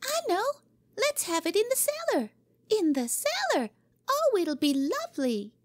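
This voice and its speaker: A woman voicing a bossy, excitable little girl in a storybook reading, shrill and commanding. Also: unhurried, no room sound, studio quality, heavily acted. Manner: shrill, imperious, gleeful.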